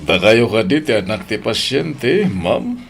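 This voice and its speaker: A man's voice: medium pitch, sing-song, a little slow, vocal fry. A man playing a sober, sympathetic character in a family audio drama. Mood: solemn. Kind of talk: radio-style drama acting. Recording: music bed under the voice.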